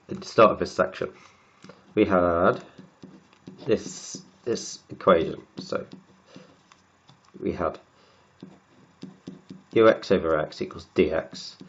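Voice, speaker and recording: A man with a cold, croaky and sniffly, working slowly through textbook maths and often repeating words as he writes. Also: deep voice, muffled recording. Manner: halting, repeats words while writing. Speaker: maths tutor.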